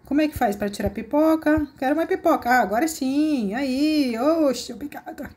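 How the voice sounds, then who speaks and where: High-voiced excited woman, a bit hoarse. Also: moderate pace. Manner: excited, chatty, exclamatory. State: somewhat hoarse after a cold. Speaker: host. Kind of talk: playful narrated gameplay.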